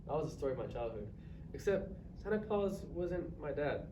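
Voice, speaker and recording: A man's voice: a little high, animated, brisk, lightly acted. A male drama student playing a bitter, closed-off, self-centred man in a staged reading. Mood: wounded, sad. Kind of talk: staged reader's theatre performance. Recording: distant echoey noisy live stage recording.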